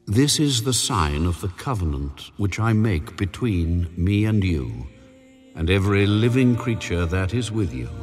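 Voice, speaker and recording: God: grave deity, deep, unhurried delivery. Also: male voice. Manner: slow, measured, grave pronouncements. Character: God, solemn commanding male deity. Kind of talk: dramatized scripture reading. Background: soft music.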